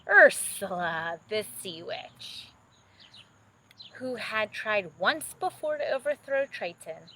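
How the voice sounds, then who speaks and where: Slow, expressive woman storyteller. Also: mid-range voice, a little hiss or hum. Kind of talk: expressive children's bedtime story narration.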